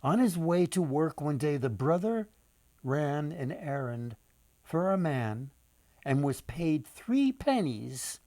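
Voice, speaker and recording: An older man tells stories to children as their author. He speaks slowly and deliberately, with theatrical pauses, in a dry, close recording. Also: on the high side, a little mellow, dry recording. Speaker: author and storyteller. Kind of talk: storytelling read-aloud for children.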